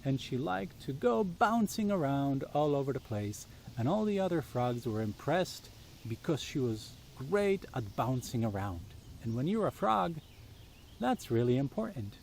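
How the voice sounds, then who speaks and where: A man's voice: medium pitch, very animated, measured pace, creaky, a little mellow, a little hiss or hum. A man tells children's stories outdoors in a simple, inviting way, speaking directly to his young listeners. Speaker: storyteller reading for children. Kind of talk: children's storytelling.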